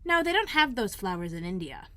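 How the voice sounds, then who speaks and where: A woman's voice: medium pitch, very animated, fairly quick, bright, forceful tone, dry recording. A woman reading aloud and voicing a curious, lonely young girl who asks eager questions.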